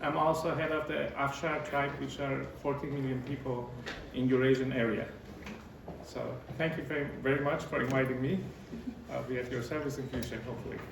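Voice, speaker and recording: A man's voice: medium pitch, fairly even intonation, brisk, gravelly. A man originally from Iran, a geopolitical analyst, giving a formal, courteous self-introduction. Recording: echoey room, distant mic, background noise.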